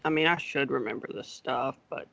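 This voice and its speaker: A man being interviewed as an accountant, talking in a relaxed, hesitant way with many fillers. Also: medium pitch, brisk, creaky, no room sound. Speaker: accountant guest. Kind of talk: casual podcast interview.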